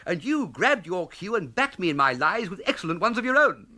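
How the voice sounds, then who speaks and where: An actor playing a breezy, idle upper-class English young gentleman in a comic period radio drama, plummy and exclamatory. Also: high voice, very animated, brisk, full character voice. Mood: gleeful.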